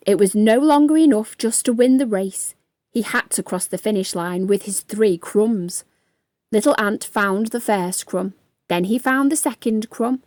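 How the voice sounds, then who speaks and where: A woman reading a children's bedtime story aloud in a gentle, expressive way. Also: mid-range voice, dry recording.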